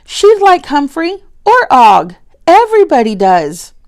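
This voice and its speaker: A woman's voice: high voice, huge pitch swings, measured pace, voice pushed up, full character voice. A woman voicing an eager young schoolboy who pleads earnestly with his teacher in a storybook reading. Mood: insistent.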